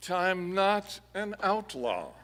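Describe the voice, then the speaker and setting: A man's voice: high voice, sing-song, slow, fairly bright tone, heavily acted. A man playing a noble, urgent masked hero in a live Western radio play, speaking formally and firmly in an echoey hall. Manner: formal, declamatory, earnest. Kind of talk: live Old West radio play acting.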